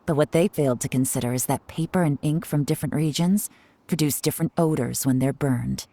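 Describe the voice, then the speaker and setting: Low, cool female investigator. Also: background noise. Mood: assured, knowing. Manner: composed, matter-of-fact, deliberate. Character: cool, shrewd, self-assured adult female investigator. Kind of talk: anime video game voice acting.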